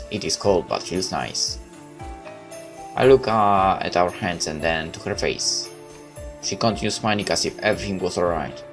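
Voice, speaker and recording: A man hosting a gaming video, reading a visual novel aloud in a halting, dramatic way with asides. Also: sits low.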